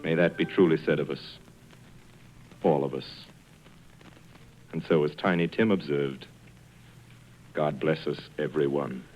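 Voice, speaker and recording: A man narrates a radio drama in dramatic storytelling style. Music plays clearly behind him, and the recording is slightly dull. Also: rumbling low, steady tone, a little slow, long pauses.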